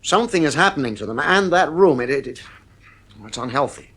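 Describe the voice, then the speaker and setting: A man's voice: on the high side, lively intonation, brisk, a bit bright. A man playing a stern, exasperated middle-aged father in a science fiction audio drama, commanding and irritable.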